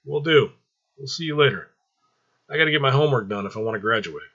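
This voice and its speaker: A man's voice: medium pitch, lively intonation, fast talker, fairly bright tone, slightly rough, muffled recording, voice pushed up. A man voicing a blunt, hot-headed, cocky teenage boy in a story read aloud. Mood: casual.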